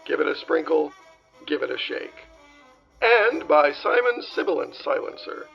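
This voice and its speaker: A man playing an announcer reading comic sponsor ads in 1940s radio style, with a gravelly voice. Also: a little high, big pitch swings, measured pace, muffled recording, soft background music, exaggerated voice. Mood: salesy.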